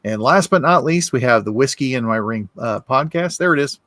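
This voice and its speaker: A man of middle pitch co-hosts a whiskey podcast and runs through announcements in a friendly, rambling way with plenty of uhs. His sound is dry and close, with no room echo. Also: expressive, brisk, fairly bright tone. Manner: friendly, rambling, with filler words. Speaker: co-host.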